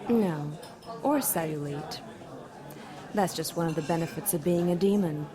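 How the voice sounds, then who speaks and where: A woman's voice: deep voice, normal speed, close to an everyday voice. An actress playing a seductive, haughty female demon with a sultry, coolly menacing manner in an audio drama. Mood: teasing, seductive. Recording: restaurant clatter and chatter behind.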